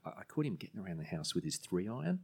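A man being interviewed, speaking haltingly and reflectively with many restarts. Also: low-pitched, sing-song, gravelly, slightly rough. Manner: halting, repeats words, soft reflective delivery. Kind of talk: casual conversational interview.

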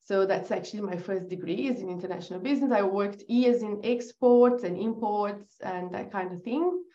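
A woman's voice: medium pitch, normal speed, warm tone. Chatty Brazilian woman, muffled sound. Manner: chatty, laughs often, restarts. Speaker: guest, agency founder. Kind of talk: casual podcast interview.

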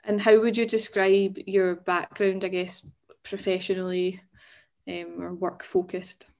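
A woman's voice: medium pitch, little pitch movement, unhurried. Polite woman interviewer on a video call. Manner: polite and gently prompting. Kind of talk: oral history interview.

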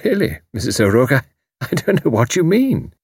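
A man's voice: mid-range voice, wildly swooping pitch, moderate pace, darkish tone, slightly rough, dry recording, voice pushed up, heavily acted. Audiobook role, male reader: middle-aged English lady, courteous, evasive, slightly flustered, lifted lilting voice, dry sound. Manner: polite, flustered hesitations. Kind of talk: audiobook character voice.

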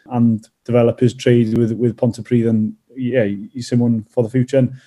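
Welsh rugby player, dark flat voice. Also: male voice, mid-range voice, moderate pace. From North Wales. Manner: hesitant, many fillers and restarts. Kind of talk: casual interview answers.

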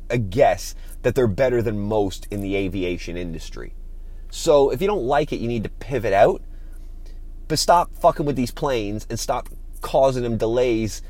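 A man's voice: mid-range voice, darkish tone. A man hosting a podcast, giving an opinionated, informal monologue with casual swearing. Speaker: podcast host.

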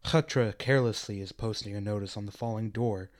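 Lower-pitched male radio play narrator. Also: a little fast.

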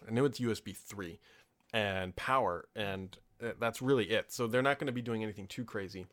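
A man's voice: fairly low pitch, fairly quick, a bit bright. A man in his thirties hosting a tech podcast from his home office, chatting casually and with strong opinions, full of ums and asides. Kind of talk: casual solo podcast chat.

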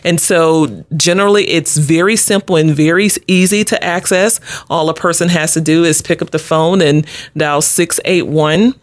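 A woman's voice: low voice, fairly even intonation, normal speed, hardly any pauses, no room sound. A middle-aged woman answering interview questions as an advocate, explaining things earnestly in a conversational way. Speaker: guest, domestic abuse advocate.

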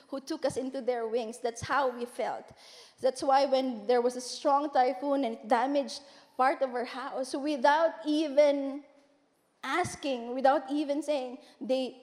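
A woman's voice: high-pitched, a little mellow. A woman giving an earnest speech of thanks to a church congregation, with a few hesitant fillers. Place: large church hall. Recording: long reverb of a church hall.

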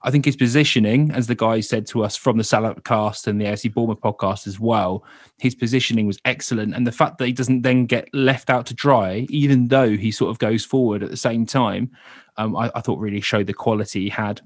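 A man's voice: fairly low pitch, steady tone, fairly quick, no echo. Chatty man hosting a football podcast. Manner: chatty, self-interrupting. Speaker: podcast host. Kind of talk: casual football chat.

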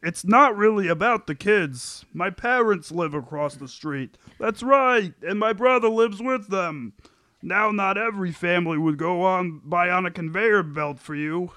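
Man acting out put-upon sitcom dad, high bright voice, exasperated, pleading, whiny, table read. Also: a little slow, dry recording. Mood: chatty, explaining.

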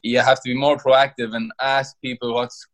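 A man's voice: mid-range voice, flat delivery, normal speed, a bit bright. A young Irish man, a footballer playing abroad, talking casually in an interview and often restarting his words. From Ireland. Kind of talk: interview over a call.

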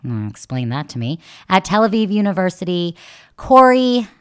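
Teasing, low-voiced woman podcast host. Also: sing-song, clean tone. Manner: teasing, quick jokes, loose and chatty. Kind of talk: playful interview chat.

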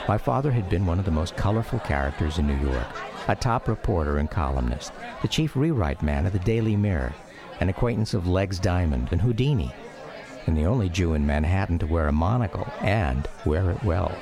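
A man's voice: sits low, animated, a little fast, a crowd in the background. A man acting as a radio drama narrator, a grown son wistfully recounting memories of his father in scripted memoir style. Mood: fond, reflective.

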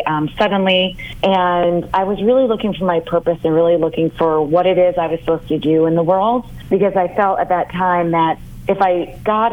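Woman on a tinny phone line. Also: fairly low pitch. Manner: earnest and heartfelt storytelling. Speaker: holistic health coach guest. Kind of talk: personal story over phone.

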